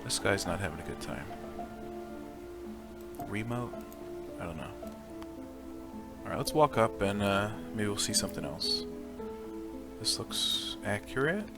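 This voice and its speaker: A man's voice: fairly low pitch, very animated, unhurried, creaky, pauses often. A man hosting a gaming video, giving casual, offhand let's play commentary as he thinks aloud. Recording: music behind the voice.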